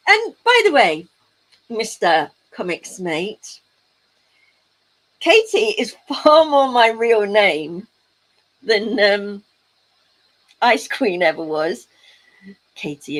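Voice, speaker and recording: Playful woman hosting a slow crafting stream. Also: mid-range voice, expressive, fairly bright tone, rough recording. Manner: playful, teasing asides to chat. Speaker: crafting livestream host. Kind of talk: casual chat while crafting, reading out chat.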